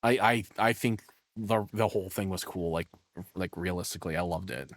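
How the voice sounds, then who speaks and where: Male streamer, lowish pitch, informal rambling opinions, close dry sound. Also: brisk, no echo. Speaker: reaction streamer. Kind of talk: casual spoken reaction commentary.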